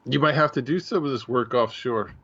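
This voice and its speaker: A man's voice: medium pitch, brisk, a bit bright, husky. A man co-hosting a show in casual chat, folksy and informal, on a dull, lo-fi recording. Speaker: podcast co-host. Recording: dull, lo-fi audio.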